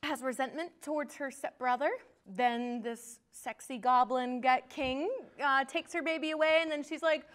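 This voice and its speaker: A woman's voice: high voice, fairly even intonation, normal speed, fairly bright tone. A woman in a casual film discussion as a guest, talking in a giggly, gushing way. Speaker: podcast guest or co-host.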